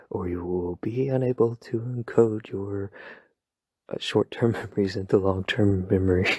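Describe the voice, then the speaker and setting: A man whispering softly at night in a rambling, playful, self-deprecating sleep-aid roleplay. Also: lowish voice, fairly even intonation, measured pace, no room sound, studio quality. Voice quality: breathy whisper. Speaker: ASMR boyfriend roleplay creator. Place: home at night.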